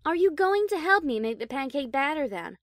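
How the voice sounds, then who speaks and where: Woman doing a snooty posh lady pony for an audio story, kinda high n animated, dry and proper. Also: fairly quick, no room sound. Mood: teasing, commanding. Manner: prim, dry, exasperated formality. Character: prim, haughty high-class female pony, dryly affectionate. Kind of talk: scripted audio story character acting.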